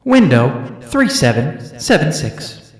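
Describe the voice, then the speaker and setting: A man voicing an impersonal alien announcer in a radio play, measured and formal. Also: a little high, very animated. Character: impersonal alien announcer calling out window numbers. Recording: echoing announcement sound.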